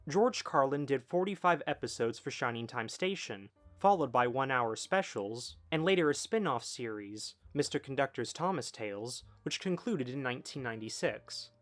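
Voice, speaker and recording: A man's voice: mid-range voice, expressive, moderate pace, few pauses, fairly bright tone. A man reading scripted documentary narration as a video essay narrator. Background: background music.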